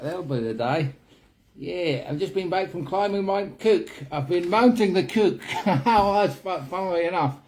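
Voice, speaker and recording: A man's voice: fairly high pitch, a little mellow, close to an everyday voice. A man playing a jokey, rambling mountaineer in a comic monologue, attempting a New Zealand accent that sounds Australian. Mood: hearty, jokey. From Scotland. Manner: rambling, self-mocking, chuckling, halting. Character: jokey male mountaineer with a wobbly put-on antipodean accent. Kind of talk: comic character monologue to camera. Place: kitchen in a house.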